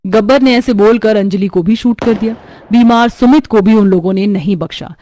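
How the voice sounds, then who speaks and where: A woman's voice: mid-range voice, moderate pace, warm tone. A woman narrating a scripted horror story as its storyteller. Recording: music under the voice.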